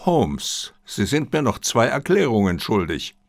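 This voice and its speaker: Audiobook sidekick read by a man: earnest, probing, sceptical male, creaky voice, expressive intonation. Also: mid-range voice, a little slow. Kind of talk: audiobook character dialogue.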